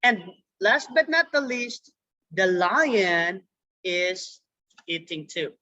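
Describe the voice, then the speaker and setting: A woman teaching a young children's science class over a video call, repeating words slowly for the kids. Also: mid-range voice, big pitch swings, pushed and bright. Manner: slow, repetitive, drawn-out words for children. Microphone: computer mic on a video call. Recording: dull lo-fi video call audio.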